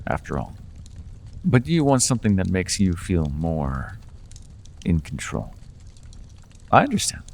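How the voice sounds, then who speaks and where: Sly Fae dealer voiced by a man, low voice, lively swooping pitch, long hall reverb. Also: vocal fry, exaggerated voice. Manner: coaxing, playful salesman patter. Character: charming male Fae dealer, persuasive and sly. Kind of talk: ASMR roleplay.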